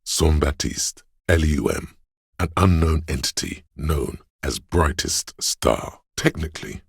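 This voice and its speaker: An erudite, all-knowing inner voice from a narrative video game. He is extremely deep and heavily husky, with a gravelly rumble. The delivery is measured and dryly sardonic, like scripted encyclopedia narration. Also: lively intonation, no echo, studio quality, exaggerated voice.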